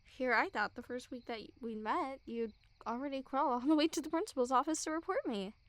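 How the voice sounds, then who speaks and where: A woman's voice: high-pitched, fairly quick, a bit bright, no room sound. A young woman playing a smug, mocking school bully girl who teases with a hint of care, in an intimate ASMR roleplay. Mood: probing, teasing. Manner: mocking, teasing, giggly. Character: teasing, smug school bully girl, secretly a little caring. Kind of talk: ASMR girlfriend roleplay, intimate one-sided dialogue.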